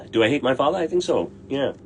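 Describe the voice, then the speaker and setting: A man's voice: mid-range voice, animated, moderate pace, slight background noise. A middle-aged man, a tailor, answering viewer questions in a blunt, brash way and swearing freely. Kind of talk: casual livestream Q&A.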